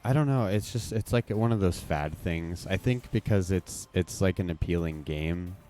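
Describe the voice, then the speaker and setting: A man's voice: low-pitched, normal speed, dark tone, faint music behind. A man streaming a game, reacting casually and swearing at the play as it happens. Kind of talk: live gameplay commentary.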